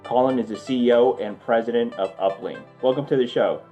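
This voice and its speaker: A man's voice: mid-range voice, fairly quick, mellow, faint music behind. A man hosting a business show in a conversational interview style. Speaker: podcast host.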